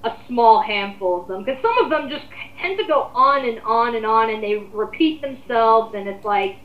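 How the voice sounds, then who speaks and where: A woman with a high-pitched voice talks in a roomy, muffled home recording. She co-hosts a commentary, rambling informally through her opinions. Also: animated, moderate pace. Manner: rambling, informal, occasional swearing. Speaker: co-host of an online commentary. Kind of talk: casual opinionated chat.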